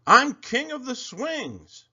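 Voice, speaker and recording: A blustering storybook bully boy, played by a man, hollers in a pitch pushed far up from an adult's. He is bossy and boastful, stretching his taunts out loudly, in a slightly dull recording. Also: sing-song, slow, breathy edge, exaggerated voice. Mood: bossy, threatening.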